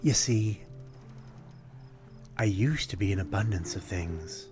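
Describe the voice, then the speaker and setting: A man playing a courtly, melancholy vampire stranger in an intimate ASMR roleplay, speaking in a formal, old-fashioned, gently wistful way. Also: lowish voice, slow, music in the background. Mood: nostalgic.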